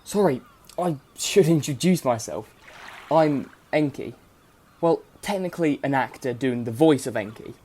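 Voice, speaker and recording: A flippant, self-aware radio play narrator, acted by a man. He addresses the listener casually and informally, with an average male pitch. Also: animated, measured pace, warm tone, slight background noise, natural voice. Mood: chatty, flippant. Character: chatty, irreverent narrator addressing the audience. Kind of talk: chatty direct address to listeners.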